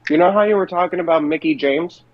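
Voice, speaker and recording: A man's voice: a little high, fast, no echo. A man chatting casually about wrestling as a podcast co-host, through slightly muffled audio.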